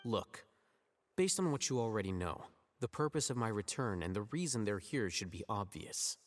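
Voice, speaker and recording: An actor playing an aloof, intellectual young male scholar in a video game, with a deadpan, condescending, measured delivery. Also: on the low side, in a large hall. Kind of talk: dramatic game character acting.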